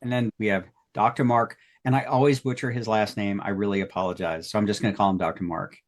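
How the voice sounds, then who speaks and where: A man's voice: on the low side, fairly even intonation, quick pace. A man hosting a group retrospective, chatting casually as he introduces people. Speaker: host of an audio drama cast retrospective.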